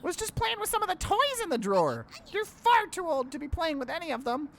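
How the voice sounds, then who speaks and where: A man voicing a small, childlike, playful onion creature from a video game, squeaky and teasing. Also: big pitch swings, brisk, creaky, a bit bright, a little hiss or hum, exaggerated voice. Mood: playful, cheeky.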